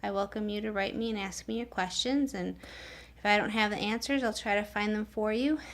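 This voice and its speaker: A woman's voice: mid-range voice, fairly quick. A woman who reads children's stories as a teacher, in an instructive way with casual explanatory asides. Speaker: children's story reader and teacher. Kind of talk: read-aloud storytime with casual asides.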